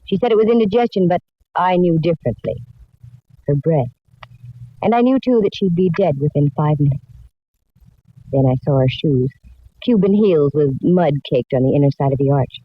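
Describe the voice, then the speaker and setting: A woman's voice: deep voice, animated, moderate pace, pauses often, slightly rough, dry recording. A woman playing a shrewd, wry, self-assured private detective in a 1940s radio drama, laying things out with wisecracks. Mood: confident, revealing. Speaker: lead actress and narrator. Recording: dull vintage radio recording.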